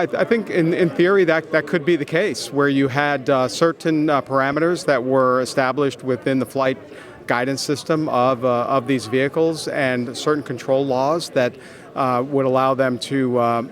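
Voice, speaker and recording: A man answering interview questions as a business executive, explaining in halting phrases with frequent pauses and repeated words. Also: medium pitch, fairly even intonation. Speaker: consultancy executive, interview guest.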